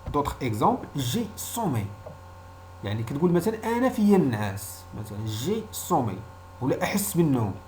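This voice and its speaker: A Moroccan man with a lively, widely moving pitch teaches an informal language lesson. As a native Arabic speaker, he explains in a didactic way and often repeats short example phrases. A faint hum of noise sits behind his voice.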